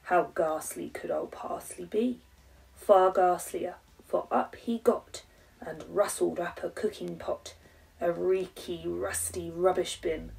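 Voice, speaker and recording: A woman's voice: on the low side, fairly even intonation, slow, creaky, a little mellow, recorded from a distance, poor audio. A woman in her thirties with an English accent, a primary teacher reading a rhyming children's story with dramatic emphasis.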